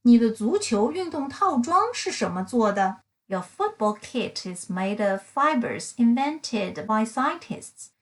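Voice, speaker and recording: A woman reading a children's science picture book aloud as a narrator, enunciating carefully. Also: a little high. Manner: slow, careful enunciation, bilingual English and Mandarin.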